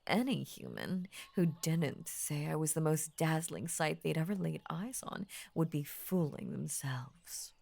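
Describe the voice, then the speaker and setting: Low, slow, possessive lamia woman, acted by a woman. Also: sing-song, full character voice. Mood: vain. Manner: soft, breathy near-whispers, halting, grandiose formal phrasing. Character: possessive, adoring yandere lamia woman, ancient, vain, murderously devoted. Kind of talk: intimate roleplay audio acting.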